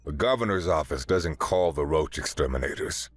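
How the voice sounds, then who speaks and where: A man voicing a cynical, world-weary ship captain who speaks flatly and sardonically. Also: extremely low pitch, moderate pace, faint music behind. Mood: sardonic. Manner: dry, flat, sardonic.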